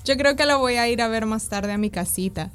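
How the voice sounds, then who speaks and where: A woman's voice: fairly high pitch, brisk, faint music behind. A woman with a Salvadoran accent co-hosting a radio segment, reading prepared notes in an upbeat way. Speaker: radio co-host.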